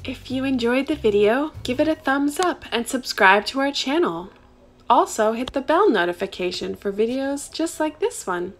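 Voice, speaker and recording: Animated high-voiced woman reading to children. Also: moderate pace. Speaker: children's story reader and channel host. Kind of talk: animated read-aloud storytelling for young children.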